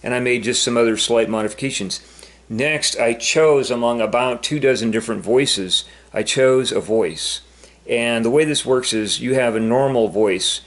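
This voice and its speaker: A man talking casually and unscripted as a vlogger demonstrating something, in a halting, repetitive, rambling way. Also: mid-range voice. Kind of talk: casual unscripted explanation to camera.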